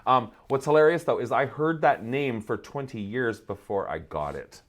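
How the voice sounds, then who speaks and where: A man's voice: medium pitch, lively intonation, moderate pace. A middle-aged man, telling stories as a guest in a casual podcast chat, amused by himself. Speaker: guest, drag theatre artist and writer.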